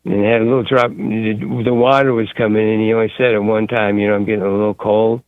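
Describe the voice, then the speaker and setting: A man calling in to a talk radio show, telling a long rambling story in a gruff way. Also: on the low side, flat delivery, fast talker, no room sound, narrow, tinny audio. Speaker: caller.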